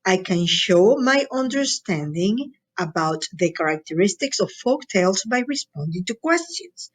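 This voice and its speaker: A woman from Latin America teaching a recorded lesson to students in an encouraging, instructional way, often checking in with them. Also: mid-range voice.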